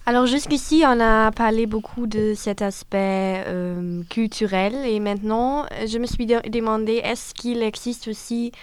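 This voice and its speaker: A woman's voice: a little high, fairly even intonation, a little slow, hardly any pauses, clean tone, no room sound. A woman leading a casual group discussion, hesitant with many fillers and repeated words.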